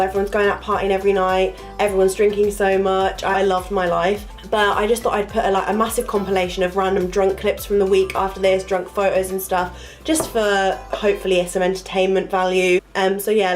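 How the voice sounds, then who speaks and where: A woman's voice: medium pitch, little pitch movement, a little fast, soft background music. A young woman chatting to camera, her voice nasal from a cold, rambling with lots of fillers. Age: young adult. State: congested, nasal from flu. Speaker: vlogger, university student. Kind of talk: casual storytelling to camera.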